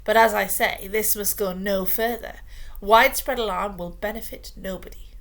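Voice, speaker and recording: Reader doing a scheming male courtier for a storybook, oily and low, slow and emphatic, gravelly edge. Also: steady tone, a bit bright, natural voice. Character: scheming, smooth-talking male royal adviser.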